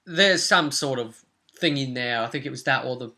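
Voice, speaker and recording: A man's voice: mid-range voice, normal speed, sharp tone. A young man, the host and game master, casually recapping a tabletop role-playing session from a room at home. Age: young adult. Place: small room at home, indoors.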